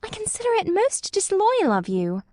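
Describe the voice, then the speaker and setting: A woman's voice: sits high, big pitch swings, moderate pace, no echo, clean studio sound. A woman reading an audiobook, voicing a proper young woman who speaks in a clipped, reproachful way. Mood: indignant. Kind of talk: audiobook character voice.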